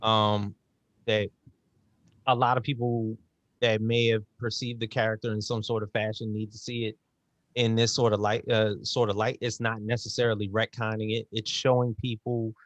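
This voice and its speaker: A man hosting a casual interview, speaking in a halting way and repeating words as he frames his thoughts. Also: fairly low pitch, flat delivery, no echo, muffled recording. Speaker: interview host.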